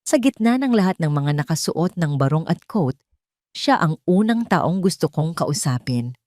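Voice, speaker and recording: A woman narrating a dramatic first-person story in a solemn, deliberate storytelling manner. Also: low voice, big pitch swings, mellow, no echo, studio quality.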